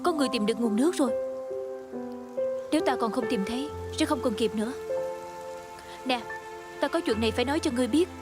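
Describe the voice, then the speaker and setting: Young female healer, played by a woman, fantasy costume dub, caring yet bossy, lightly high voice, prominent score behind. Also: fast talker, pauses often, over loud music, close to an everyday voice. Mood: brisk concern. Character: young female healer of a secret tribe, caring but scolding. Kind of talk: dubbed fantasy costume drama acting.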